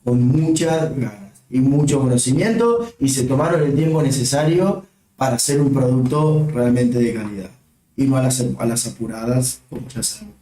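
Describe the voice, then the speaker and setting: A jokey Argentine man guesting on a home stream, chatty and quick with remarks. His tone is mellow and darkish, and the room adds a noticeable echo. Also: medium pitch, animated, far from the mic. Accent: Argentine Spanish. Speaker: guest co-host. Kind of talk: casual stream chat.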